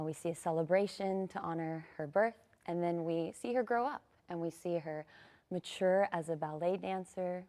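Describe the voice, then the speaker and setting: A woman's voice: fairly low pitch, darkish tone. A woman guest on a TV morning show, speaking earnestly with fillers about a ballet. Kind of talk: TV interview.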